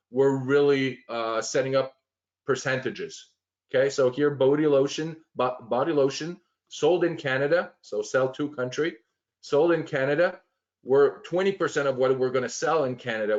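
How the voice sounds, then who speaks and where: A man walking through planning software on a shared screen, explaining step by step with frequent fillers and restarts. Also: mid-range voice, highs cut off. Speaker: software trainer.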